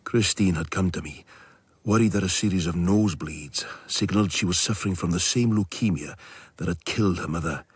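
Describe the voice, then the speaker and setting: Deep-voiced, wry male actor as narrator. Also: a little fast, slight background noise, lightly acted. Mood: reflective. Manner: literary, wry, confessional. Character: wry, lovelorn doctor recounting his own story. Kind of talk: scripted first-person radio drama narration.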